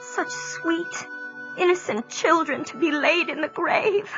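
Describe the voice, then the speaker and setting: An actress playing a devoted 1840s preacher's wife in a radio drama. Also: female voice, very high voice. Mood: grieving. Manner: slow, tender delivery. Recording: dull recording with music.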